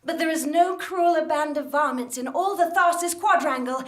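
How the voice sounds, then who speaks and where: A woman's voice: high-pitched, moderate pace, natural voice. A woman playing an earnest, no-nonsense saloon barkeep in a comic western radio play, with a melodramatic manner. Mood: urgent, dramatic. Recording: roomy live theatre sound.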